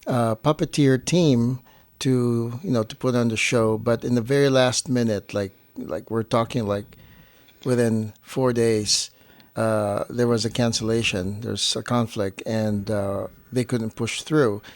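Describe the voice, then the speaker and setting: A man's voice: medium pitch, fairly even intonation, measured pace, no echo. Casual man chatting, dry close sound. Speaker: guest on partner's family show. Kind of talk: casual chat, anecdotal storytelling.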